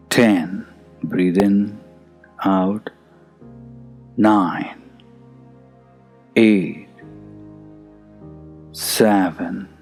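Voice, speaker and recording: A man leading a guided meditation in a soothing, unhurried manner that is hushed at times. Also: fairly low pitch. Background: soft music.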